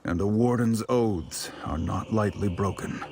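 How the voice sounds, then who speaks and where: A man playing a brooding, oath-bound warrior knight, narrating gravely and deliberately in a dramatic game. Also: fairly low pitch. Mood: grave, regretful. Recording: loud ambient noise behind voice.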